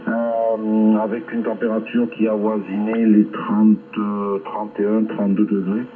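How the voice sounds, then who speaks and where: A man chatting casually as an amateur radio operator over a shortwave link, rambling hesitantly with long filled pauses. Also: lowish voice, monotone, phone-quality sound, slight background noise. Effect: shortwave ham radio transmission. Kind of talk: casual ham radio contact chat. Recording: band-limited shortwave radio reception.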